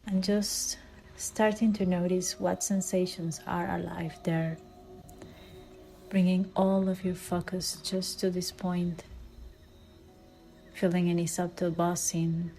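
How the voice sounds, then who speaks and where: A woman leading a guided meditation in a soft, soothing way, with phrase endings that fall into breathy near-whispers. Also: lowish voice, flat delivery, slow, long pauses, rough recording. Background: background music.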